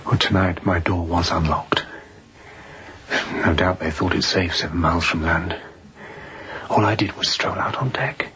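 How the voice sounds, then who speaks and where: An actor playing a weary, haunted escaped seaman who confides in a hushed, halting way, as in a radio drama. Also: low-pitched, fairly even intonation, dull audio. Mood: quietly confiding. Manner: hushed, breathy, halting confession.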